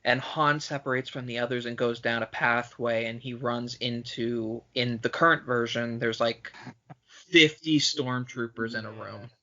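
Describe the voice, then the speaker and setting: Bright, forceful man on a lo-fi podcast. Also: mid-range voice, fairly even intonation, measured pace. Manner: blunt, profane, opinionated. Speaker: podcast co-host. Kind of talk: casual film discussion.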